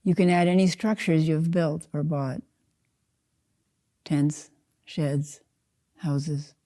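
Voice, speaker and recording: An older woman guides a meditation in a low, very soft-edged and dark voice. She is calm and measured, setting out short phrases one by one without hurry. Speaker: Zen teacher leading a guided meditation.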